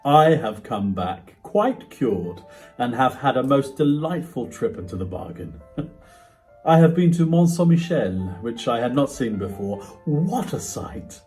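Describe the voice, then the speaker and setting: A man's voice: mid-range voice, very animated, a little slow. A man playing a tormented, unravelling man in a dramatic, intense reading of a classic ghost story. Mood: relieved, marvelling. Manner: theatrical, intense, swinging from hushed dread to agitation. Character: frightened, tormented man slowly unravelling, confessing his night terrors. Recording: music behind the voice.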